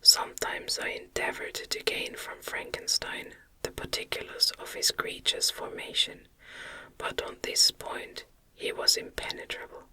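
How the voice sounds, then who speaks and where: A woman whispers a literary audiobook in a fully unvoiced, airy ASMR style. The delivery is slow and gentle, with crisp, bright sibilance. She keeps it soothing and measured, phrase by phrase. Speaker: ASMR narrator reading an audiobook. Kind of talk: whispered ASMR reading of a gothic novel.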